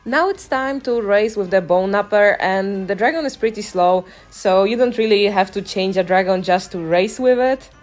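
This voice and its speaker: A woman's voice: mid-range voice, moderate pace, few pauses. Chatty woman narrating gameplay over music. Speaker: gaming video creator. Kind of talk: casual gameplay commentary voice-over. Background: music behind the voice.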